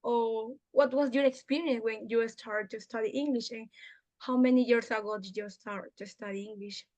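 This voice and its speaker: A woman in her twenties from Peru talks in earnest, encouraging learner English. Her voice sits slightly high, and she speaks openly in a relaxed practice chat. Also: normal speed, lo-fi sound. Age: 20s. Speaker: conversation host. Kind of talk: casual practice conversation in English.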